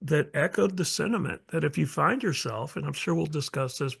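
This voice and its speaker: A man hosting a true-crime interview, his voice fairly high and gravelly, with a husky edge. He speaks in a measured way, circling back over his words as he hands the floor to his guest. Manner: measured, repeats himself, gently prompting. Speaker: podcast host.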